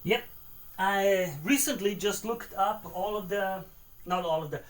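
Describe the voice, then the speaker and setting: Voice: mid-range voice, a little slow, low-quality recording. A streamer from Austria, chatting casually with live viewers while cooking and answering them between remarks on the pot.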